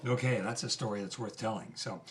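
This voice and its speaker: An actor playing an inquisitive, earnest podcast host with a measured delivery in an audio drama. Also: male voice, fairly low pitch, steady tone, close to an everyday voice. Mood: earnest, intriguing. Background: music.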